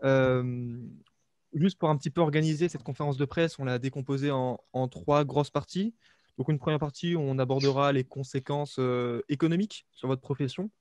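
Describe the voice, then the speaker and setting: A man's voice: medium pitch, fairly even intonation, normal speed, darkish tone, no room sound. Young man moderating over a dry call. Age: young adult. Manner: organized, slightly hesitant. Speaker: student journalist moderator. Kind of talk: moderating a press conference. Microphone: video call mic.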